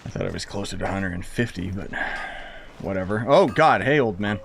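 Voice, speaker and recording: A man's voice: sits low, animated, fairly quick, vocal fry, slight background noise. A man live streaming a video game with laid-back, jokey, off-the-cuff commentary. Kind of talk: casual gaming commentary. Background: game music.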